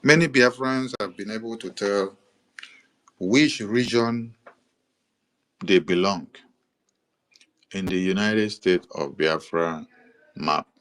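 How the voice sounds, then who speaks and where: A man with a Nigerian accent giving an explanatory monologue, listing items in a steady, repetitive rhythm with occasional hesitations. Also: on the low side, takes it slowly, long pauses, poor audio. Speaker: commentator presenting a map.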